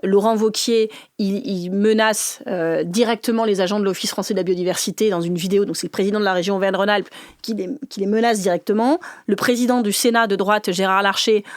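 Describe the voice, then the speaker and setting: Fast-talking woman from northern France. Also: mid-range voice, no echo, clean studio sound. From northern France, mining basin. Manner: fast, animated, laughing asides, many restarts. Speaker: politician, interview guest. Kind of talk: lively conversational interview.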